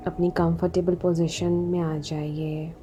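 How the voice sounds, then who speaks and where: A woman leading a guided meditation in a soothing, unhurried manner, leaving long pauses between short instructions. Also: lowish voice, little pitch movement. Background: background music.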